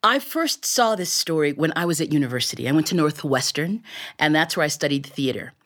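A woman's voice: deep voice, lively intonation, brisk, fairly bright tone. An American woman telling a story live to an audience in a colorful, playful, theatrical way. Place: recorded live in a radio studio before an audience.

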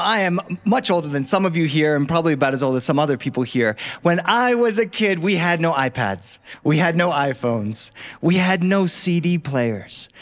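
A middle-aged man performs as a live storyteller. His voice sits a little high and moves around a lot in pitch. He talks in a conversational way, drawing listeners in with questions. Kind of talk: live storytelling of childhood memories to an audience. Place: recording studio in front of live listeners.

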